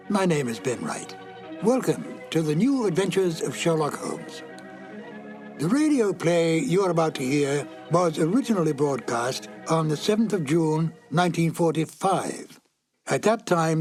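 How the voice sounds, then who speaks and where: A man's voice: a little high, sing-song, a little slow, a little mellow, faint music behind. A man giving a scripted narration as the presenter of a classic radio drama.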